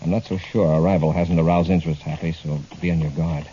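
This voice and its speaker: A man acting a steady, watchful space patrol commander in a 1950s radio adventure. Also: a bass voice, fast talker. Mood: calm, watchful. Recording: dull, hissy old radio recording.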